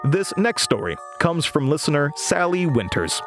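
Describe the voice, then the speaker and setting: A man's voice: fairly high pitch, big pitch swings, normal speed, over music. A man playing an anxious, haunted young host of a horror radio show in an audio drama. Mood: solemn.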